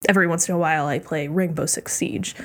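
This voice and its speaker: A woman's voice: low-pitched, fairly even intonation, quick pace. A young woman chatting casually as a podcast guest, breaking into giggles between phrases. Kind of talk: casual chat. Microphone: headphones and podcast mic.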